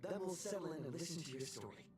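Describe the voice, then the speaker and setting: A man's voice: on the high side, animated, quick pace, darkish tone, far from the mic, faint music behind, natural voice. An actor playing an easygoing, friendly young male companion in a video game. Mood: friendly, agreeable. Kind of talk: scripted video game dialogue.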